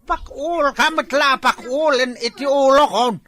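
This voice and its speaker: A man's voice: very high voice, unhurried, bright, forceful tone, heavily acted. A man playing a defensive, tipsy elderly husband in an Ilocano village comedy drama, with an Ilocano accent. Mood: defensive, whining. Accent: Ilocano, northern Philippines.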